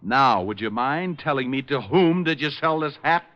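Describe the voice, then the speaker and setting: A man's voice: mid-range voice, expressive, normal speed, no room sound, lightly acted. An actor playing a tough, wisecracking male private detective, wry and breezy, in 1940s radio style. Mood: sarcastic. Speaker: lead actor and first-person narrator. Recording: dull vintage radio recording with music.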